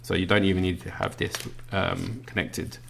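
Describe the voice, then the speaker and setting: A man gives a casual hands-on product walkthrough. His voice sits very low and has a noticeable vocal fry. He explains things in a somewhat halting, unscripted way. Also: flat delivery, fairly bright tone, a little hiss or hum. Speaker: product reviewer. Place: indoors at a work desk.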